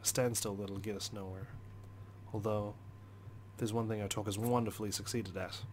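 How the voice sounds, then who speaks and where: A man's voice: lowish voice, steady tone, moderate pace, darkish tone, slight background noise. A man hosting a let's play, reading a visual novel's story aloud as dramatic, brooding narration.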